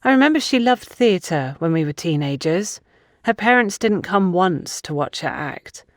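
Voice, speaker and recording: A woman's voice: fairly low pitch, expressive, measured pace, no echo, clean studio sound. A woman voicing a defensive, suspicious young woman under questioning in an audio drama, with an accusatory, indignant manner. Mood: reluctant, candid. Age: young adult.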